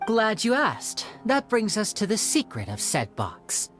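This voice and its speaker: An actor voicing a young male stage magician in a video game, smooth, theatrical and explanatory. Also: high voice, wildly swooping pitch, a little slow, exaggerated voice. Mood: explaining. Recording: game audio with soft music.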